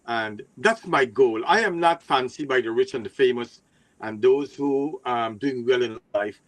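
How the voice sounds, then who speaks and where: A man from Guyana, a guest on a livestream talk show, speaking in a heartfelt way and slowing down for emphasis, over an online call. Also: medium pitch, rough recording. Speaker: guest, nurse and advocate. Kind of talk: casual livestream chat.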